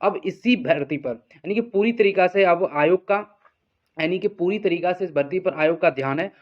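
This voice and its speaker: A man's voice: high voice, moderate pace. A man speaks like a coaching teacher briefing students. He explains informally, circles back over his points and keeps checking in. The recording is dull and lo-fi. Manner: repetitive, explanatory, frequent check-in tags. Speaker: exam coaching teacher and channel host. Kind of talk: informal exam update addressed to students.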